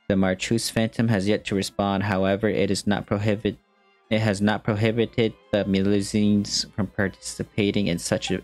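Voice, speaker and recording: A young man streams a game in a casual style. His voice sits low as he comments and reads text aloud. Faint game music runs underneath. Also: darkish tone. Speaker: gaming streamer.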